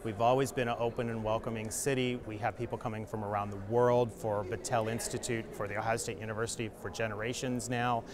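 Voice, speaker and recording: A man's voice: on the low side, flat delivery, quick pace, hardly any pauses. Man interviewed outdoors with a crowd behind. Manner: reassuring, with fillers. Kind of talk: casual interview answer.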